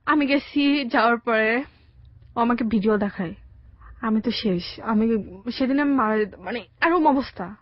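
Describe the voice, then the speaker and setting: Young bangladeshi girl, adult, telling her story on radio, highish voice, slightly dull lofi sound. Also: measured pace, pauses often, no echo. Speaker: interview guest telling her life story. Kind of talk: personal account in colloquial conversation. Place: radio studio.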